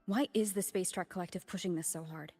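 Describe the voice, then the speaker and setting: A woman playing a calm, guarded young hero in a video game, speaking in a measured, reserved way. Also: fairly low pitch, echoey room, in a large hall, soft background music, close to an everyday voice. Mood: wary, firm.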